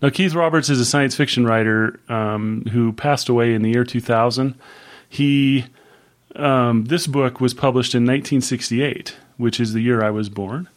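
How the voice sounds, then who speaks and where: A man in his fifties co-hosting a book podcast, talking in a casual, rambling way with many pauses and fillers. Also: mid-range voice. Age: 50s. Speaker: podcast co-host.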